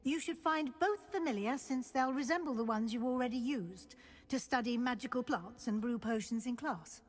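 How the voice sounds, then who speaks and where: An actress playing a composed, encouraging middle-aged professor of magic in a video game, speaking in a measured, formal, instructive way. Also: female voice, on the high side, natural voice. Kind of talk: scripted video game dialogue. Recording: long hall-like reverb.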